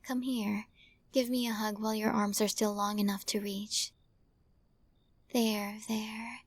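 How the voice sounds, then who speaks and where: A possessive yandere witch, acted by a woman, murmurs in a lightly high voice, very close and dry. She is doting and smug, coaxing and teasing in ASMR style. Her soft speech drifts into breathy whispers with a sweet menace. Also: no echo. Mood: soothing. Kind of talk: ASMR roleplay, intimate acting to listener. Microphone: close studio mic, dry.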